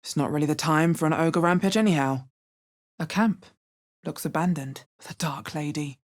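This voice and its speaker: A woman playing a wry, resolute, watchful adventurer heroine in a video game, with a deep, husky voice and a measured, understated delivery. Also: steady tone, natural voice. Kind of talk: video game character voice lines.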